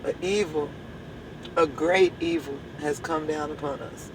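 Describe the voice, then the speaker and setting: A hoarse woman with a drawl leads her church in an impassioned address. She hammers at the same rhetorical questions again and again, pressing each point home. A faint background hum sits under her voice. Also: sits low, fairly even intonation, measured pace. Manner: emphatic, repeats rhetorical questions. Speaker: church leader.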